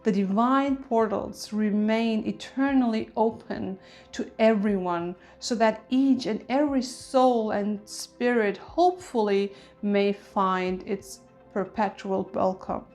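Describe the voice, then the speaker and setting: A woman guides a meditation in a gentle, soothing ASMR manner. She takes her time, leaving deliberate space between phrases at a very slow pace. Her voice sits at a medium pitch, with soft music behind it. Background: soft music with chimes.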